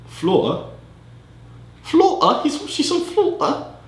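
A young man hosting a comedy video, sliding between a loud, high-pitched mock British accent and a low, casual drawl. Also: wildly swooping pitch, moderate pace, echoey room. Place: bedroom studio, indoors.

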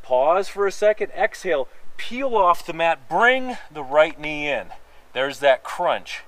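A man's voice: fairly high pitch, measured pace, no echo. Nasal man coaching a workout outdoors. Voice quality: a little nasal. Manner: energetic coaching, counting reps, joking asides. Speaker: fitness instructor. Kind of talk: workout instruction while exercising. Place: outdoor wooden deck among pine trees.

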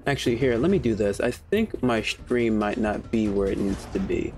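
Male streamer, relaxed commentary, curses freely, soft game music underneath. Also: on the low side, normal speed. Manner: laid-back, swears freely. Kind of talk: casual gameplay commentary.

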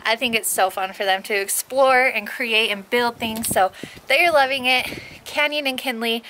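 Cheery mom vlogging at a cabin, highish voice, lots of hiss behind, camera held out. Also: female voice, moderate pace, sharp tone. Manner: upbeat, laughs often. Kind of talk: casual family vlog chat. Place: outdoors at a mountain cabin. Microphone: handheld camera at arm's length. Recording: noisy handheld camera audio.

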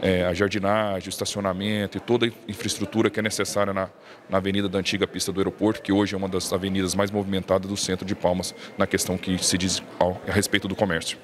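A man in his thirties with a Brazilian accent answering a reporter's questions into a handheld mic, steady and earnest with filler restarts. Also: deep voice, fast talker. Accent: Brazilian Portuguese. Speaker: city councilman interviewed. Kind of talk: press interview. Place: indoor legislative hallway.

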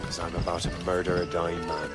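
An actor in a video game playing a confident, brooding assassin ship captain with a cocky, resolute manner. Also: male voice, low voice, completely flat delivery, brisk, loud music behind the voice, close to an everyday voice. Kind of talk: dramatic video game acting.

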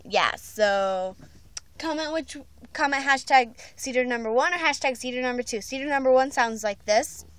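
High-voiced young-sounding woman vlogger, casual. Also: normal speed, fairly bright tone. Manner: self-mocking, informal, goofy. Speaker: vlogger auditioning for a voice part. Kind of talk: casual doll-video chat. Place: indoors at home. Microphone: handheld phone, close.

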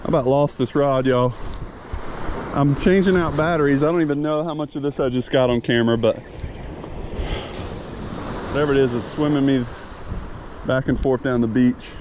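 A chatty man in his thirties talks through a fishing day on an open beach, easygoing one moment and fired up the next. His voice sits mid-range and comes through an action camera on the shore. Steady wind and crashing surf wash clearly under every word. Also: mid-range voice, normal speed, pauses often. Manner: chatty, excitable when a fish hits. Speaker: fishing channel host. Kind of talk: casual outdoor vlog narration. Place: open ocean beach outdoors in wind and rain. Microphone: action camera, chest or handheld. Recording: windy beach with loud surf noise.

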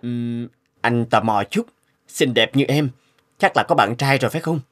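An actor voicing a smooth, courteous male company director in a cartoon, speaking gently and suavely. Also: mid-range voice, moderate pace, close to an everyday voice. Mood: flirtatious. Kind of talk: animated cartoon dialogue. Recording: loud piano music under the voice.

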